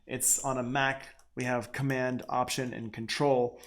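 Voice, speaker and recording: A man giving a casual software tutorial as a music production teacher, informal and conversational, thinking aloud. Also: medium pitch, flat delivery, unhurried.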